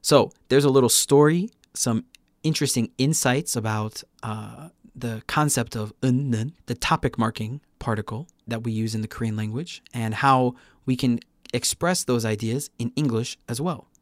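A man hosting a casual podcast, talking in a relaxed, chatty way and laughing often. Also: medium pitch, moderate pace, no room sound, clean studio sound. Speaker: podcast host.

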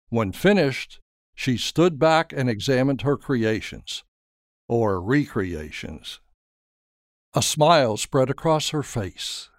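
A man narrating a scripted story in a measured, dramatic storytelling manner. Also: mid-range voice, dry recording, clean studio sound. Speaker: author and narrator.

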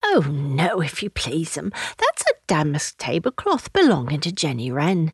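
A stout hedgehog washerwoman from a children's storybook, acted by a woman. She is polite and fussy, eager to please, and speaks in a dry, close recording with animated pitch. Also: unhurried, husky, no echo, heavily acted.

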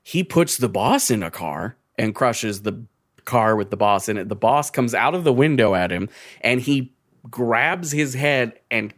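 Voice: medium pitch, animated, unhurried, dry recording. A podcast co-host chatting casually, with a jokey, sweary, informal storytelling manner. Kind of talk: casual chat, ranking horror game killers.

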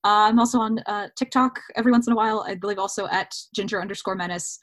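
A woman's voice: medium pitch, fast, fairly bright tone. A woman chatting informally as a guest in a casual podcast interview. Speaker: podcast guest, actor and cosplayer.

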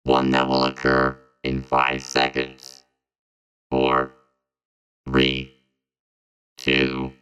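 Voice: monotone, drawn-out words, pauses often, fairly bright tone, slight background noise, heavily acted. An actor playing a formal, emotionless time-traveling robot in an audio drama, with an electronically processed voice. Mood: flatly grave. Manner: formal, literal, emotionless delivery. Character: time-traveling robot sent from a ruined future, coolly formal. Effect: robot voice processing, pitched very low.

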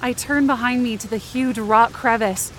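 Over clearly audible background noise, a woman acts out a frightened yet determined young woman in an audio drama. Her voice sits fairly high. She narrates in the first person with intensity and feeling, in short, deliberate phrases. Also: lightly acted. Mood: shaken, tense. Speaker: writer and performer of a fiction podcast.

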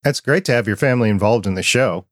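A man with a quiet voice hosting a podcast, asking curious, friendly questions and chatting about microphones into a dynamic mic. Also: fairly low pitch, fast, no echo, clean studio sound. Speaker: podcast host. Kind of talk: interview questions and chat.

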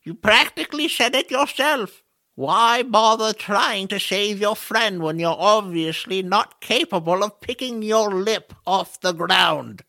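A man voicing a stern, sardonic old-fashioned mentor raven in an audio drama, speaking in a measured, formal, chiding way with a gravelly edge. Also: high-pitched, sharp tone, exaggerated voice.

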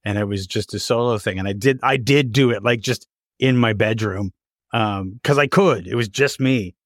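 Animated man with a stammering, rambling delivery. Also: lowish voice, normal speed, no room sound, studio quality. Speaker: interviewer, podcast host. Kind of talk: casual conversational interview.

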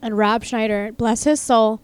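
A woman's voice: on the high side, steady tone, unhurried, clear, resonant tone. A woman around thirty talks in a loose, chatty way and swears freely. She sounds casual and unbothered as she chats on a podcast. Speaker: podcast co-host.

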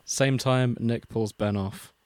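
A man's voice: medium pitch, steady tone, slow, a little mellow, dry recording. A man co-hosting a casual podcast, reading out a fanfic and laughing often mid-sentence. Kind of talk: casual chat with dramatic reading.

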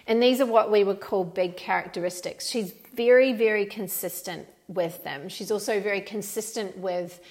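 Female podcast host, Kiwi-Aussie accent, expressive, a little gravelly. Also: medium pitch, normal speed, hardly any pauses. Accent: New Zealand with some Australian. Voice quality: animated, emphatic. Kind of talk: solo podcast talk.

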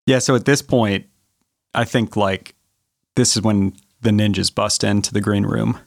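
A man's voice: lowish voice, fairly even intonation, unhurried, creaky, no room sound, clean studio sound. A young man playing a tabletop game, casually describing his character's actions. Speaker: player.

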